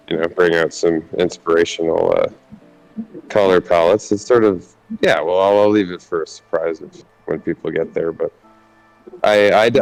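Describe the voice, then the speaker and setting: A very deep man's voice with a gravelly, fried edge. He rambles in casual chat, restarting sentences and filling the gaps with "like" and "you know". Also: poor audio. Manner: rambling, self-correcting, filler-heavy.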